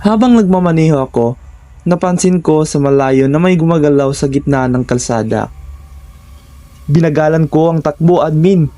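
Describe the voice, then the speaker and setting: A man with a Filipino accent reading a scripted first-person horror story in measured, short suspenseful phrases. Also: fairly high pitch, dark tone.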